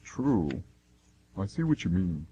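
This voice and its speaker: A man's voice: deep voice, big pitch swings, slow, warm tone, highs cut off, full character voice. A man playing a joking, slightly petulant train passenger in an amateur radio play. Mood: joking, miffed. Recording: faint noise and hum.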